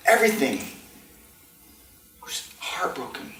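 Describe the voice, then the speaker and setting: A man telling a tall tale on stage in a theatrical way, with dramatic, deliberate pauses. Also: a little high, very animated, sharp tone, whispered. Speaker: contestant in a speech club storytelling contest. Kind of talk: theatrical tall-tale storytelling to a live audience. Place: indoor community meeting room. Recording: distant mic, roomy echo, faint noise.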